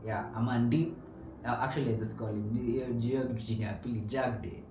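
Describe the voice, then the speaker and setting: A man's voice: medium pitch, fairly even intonation, moderate pace, recorded from a distance, background noise. A young man with a Kenyan accent hosting a podcast in casual chat, switching between English and Swahili slang with many restarts. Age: young adult. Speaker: podcast host. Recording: roomy, off-mic with faint ambience.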